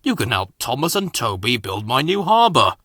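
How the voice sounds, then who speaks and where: A man's voice: sing-song, normal speed, bright, forceful tone, no room sound, studio quality. A man voicing a commanding storybook railway controller, authoritative and blustery but kindly. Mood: kindly, brisk. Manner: booming, authoritative.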